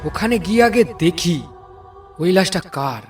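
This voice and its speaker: A man's voice: high-pitched, lively intonation, moderate pace. A man voicing a concerned young villager in a cartoon, earnest and urging. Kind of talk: cartoon voice acting. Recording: slightly distant, music behind.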